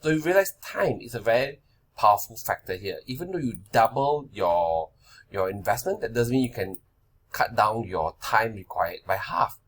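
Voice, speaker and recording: A man's voice: fairly low pitch, sing-song, normal speed. A man of forty giving a casual slide-based lesson on investing, talking loosely and checking in with "right?" and "okay?". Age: 40s. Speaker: finance presenter.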